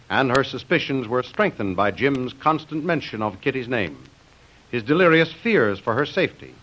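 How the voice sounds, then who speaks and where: A man's voice: medium pitch, a bit bright. A man narrating and announcing a 1930s radio serial in a dramatic, declamatory style. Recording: dull vintage radio recording. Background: music.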